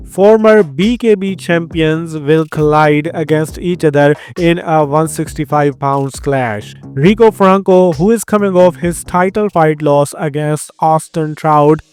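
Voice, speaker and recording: A man's voice: on the high side, a little mellow. Upbeat Indian-accented man. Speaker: host. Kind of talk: scripted fight-news rundown. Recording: faint background music.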